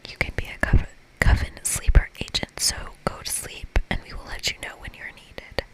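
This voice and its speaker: Soft whispering ASMR game narrator. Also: moderate pace, rough recording. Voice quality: breathy, unvoiced whisper. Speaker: ASMR creator playing a visual novel. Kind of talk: whispered ASMR game playthrough, reading dialogue aloud.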